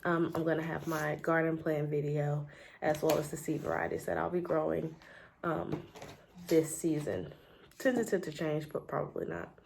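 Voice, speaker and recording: Woman, stuffy scratchy cold voice, low pitch, lots of ums, casual home vlog. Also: moderate pace, vocal fry, a little mellow. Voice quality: frequent ums and trailing off. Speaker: homestead gardening vlogger. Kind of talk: casual chatty show-and-tell. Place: home, at a table.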